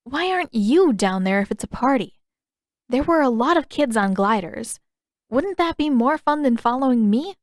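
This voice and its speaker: A woman's voice: high voice, expressive, normal speed, no room sound, studio quality, voice pushed up. A woman voicing a blunt, bossy little girl of about ten in an audiobook story. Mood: stubborn. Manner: blunt, authoritative, childlike.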